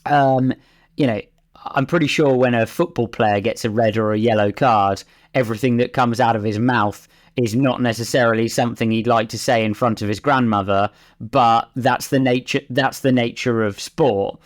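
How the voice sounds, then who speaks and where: A man's voice: mid-range voice, fairly even intonation, normal speed. A man talking as a racing driver and pundit, giving conversational, discursive analysis in an emphatic way.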